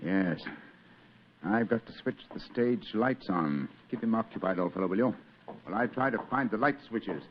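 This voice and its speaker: An actor playing a brilliant, commanding detective in a clipped, dramatic old radio drama style. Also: male voice, lowish voice, animated, moderate pace, highs cut off, a little hiss or hum, lightly acted. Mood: urgent. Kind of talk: 1940s radio drama acting.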